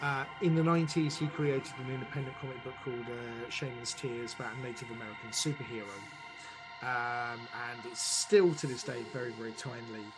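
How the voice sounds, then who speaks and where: A man's voice: mid-range voice, fairly even intonation, fairly quick, over music, low-quality recording. A middle-aged man talking as a podcast guest about his work in a chatty, playful and enthusiastic way. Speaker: guest, audio drama producer and director.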